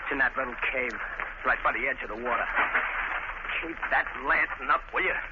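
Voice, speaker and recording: Man playing a rough, grumbling radio crook. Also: medium pitch, brisk, natural voice. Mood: grumbling, bitter. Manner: rough, complaining, slangy. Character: bitter, grumbling male gangster, easily rattled. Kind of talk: 1940s radio drama acting. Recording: muffled, very noisy old radio broadcast.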